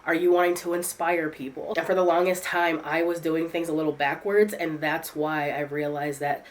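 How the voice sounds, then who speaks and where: A woman's voice: sits low, fairly even intonation, normal speed. A woman content creator chatting candidly to her viewers in a casual vlog, congested from a head cold. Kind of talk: casual talking-to-camera vlog.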